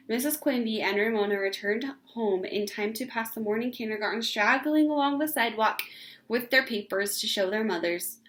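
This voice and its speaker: A woman narrating a children's story aloud in an expressive read-aloud style. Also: medium pitch.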